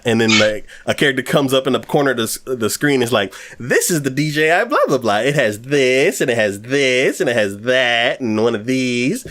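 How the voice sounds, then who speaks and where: A man's voice: mid-range voice, fairly bright tone. A man co-hosting an anime podcast, chatting casually and rambling with lots of fillers. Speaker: podcast co-host.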